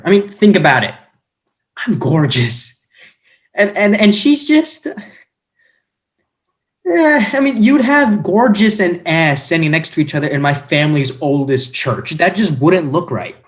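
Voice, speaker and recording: A man's voice: fairly high pitch, big pitch swings, moderate pace. A man playing a vain, dim, whiny young nobleman who stammers and boasts, in a comic stage play reading.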